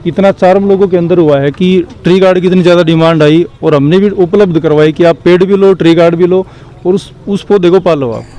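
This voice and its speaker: Earnest village head, dark tone. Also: male voice, high voice, a little fast. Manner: earnest, explains with examples, restarts phrases. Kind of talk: interview answers.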